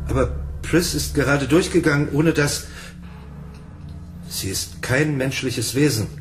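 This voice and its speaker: A man's voice: medium pitch, a little slow, faint music behind, close to an everyday voice. A man acting a naive, kindly, timid loner in a radio drama, earnest and hesitant. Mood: earnest, gentle.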